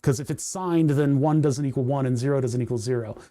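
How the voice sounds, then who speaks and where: A man hosting a casual coding livestream, rambling and chatty with frequent laughs, his voice starting to go hoarse. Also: medium pitch, a little fast, dark tone. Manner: rambling, chatty, laughs often, reads chat aloud. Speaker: livestream host.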